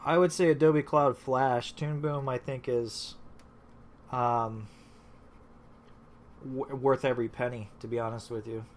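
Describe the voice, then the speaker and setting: A man's voice: medium pitch, steady tone, measured pace, long pauses, slight background noise. Chatty man hosting a live stream. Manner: rambling, many fillers and restarts. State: recovering from illness. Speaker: host. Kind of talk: casual live-stream chat.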